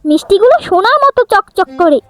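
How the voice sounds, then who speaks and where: A woman voicing an excited little village girl in a cartoon fairy tale. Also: very high-pitched, fast talker. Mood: proud, excited.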